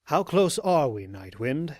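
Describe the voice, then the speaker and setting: A man playing a coldly polite, sinister pony cult leader in an audio drama, speaking in a measured, formal and menacing way. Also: fairly high pitch, big pitch swings, darkish tone, no room sound, heavily acted. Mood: commanding. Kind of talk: fan audio drama acting.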